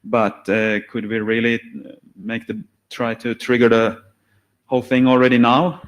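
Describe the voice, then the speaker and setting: A man discussing a draft at a technical meeting, halting and often repeating his words. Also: medium pitch, flat delivery. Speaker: draft author presenting. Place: standards meeting room.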